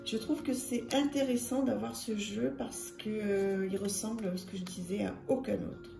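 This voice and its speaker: A woman's voice: mid-range voice, steady tone, normal speed, vocal fry. A woman hosting her tarot channel, showing a deck in a casual, chatty way with many fillers and repeated words. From France. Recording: echoey room with music behind. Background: soft music.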